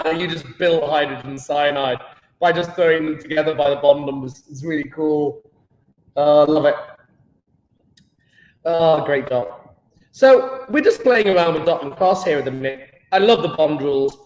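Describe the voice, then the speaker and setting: A man's voice: a little high, normal speed, a little mellow, roomy sound, poor audio. A British man teaching a live online chemistry lesson, playful and enthusiastic, joking with his pupils.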